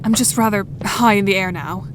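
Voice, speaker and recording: A woman's voice: mid-range voice, brisk, background noise, close to an everyday voice. A woman playing a self-assured, dry, easily exasperated girl in an audio drama, speaking in precise, formal phrases. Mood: exasperated, relenting. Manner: precise, stern, formal wording.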